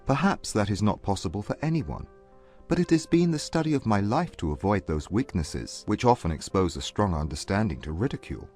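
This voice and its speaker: Man playing aloof Regency gentleman, haughty, formal, measured, lively intonation, audiobook drama, faint music underneath. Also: on the low side. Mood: grave, self-assured.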